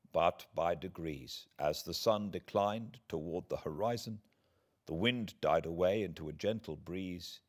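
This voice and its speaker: A man with a British accent reading classic literature aloud as an audiobook narrator, in a theatrical, deliberate way with dramatic pauses. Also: deep voice. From Britain.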